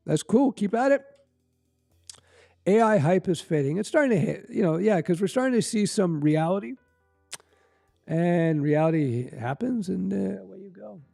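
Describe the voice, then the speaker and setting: A man's voice: high voice, lively intonation, moderate pace, gravelly, long pauses, warm tone, faint music behind. A middle-aged Canadian man hosting a casual livestream, answering chat questions in a rambling, conversational way. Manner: rambling, conversational, fillers and restarts. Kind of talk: casual live-stream chat.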